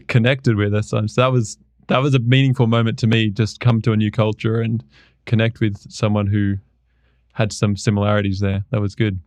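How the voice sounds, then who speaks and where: A man's voice: medium pitch, vocal fry, a little mellow, dry recording. A man hosting a podcast, asking questions in an easygoing way and chiming in with short affirmations. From Australia. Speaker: podcast host. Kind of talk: casual interview.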